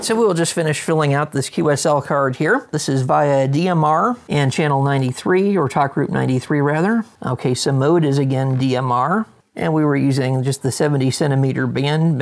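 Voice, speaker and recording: A middle-aged man with an American accent presenting an instructional demonstration with casual radio chat, methodical in his delivery, recorded in an indoor studio. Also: a little high. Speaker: presenter, ham radio operator. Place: indoor studio with wood-panel backdrop.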